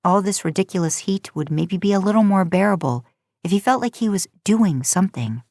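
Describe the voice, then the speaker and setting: A woman's voice: mid-range voice, expressive, brisk, darkish tone, dry recording, studio quality. A woman narrating a written story in the manner of an audiobook, reading from a script. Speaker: podcast host and fan fiction narrator.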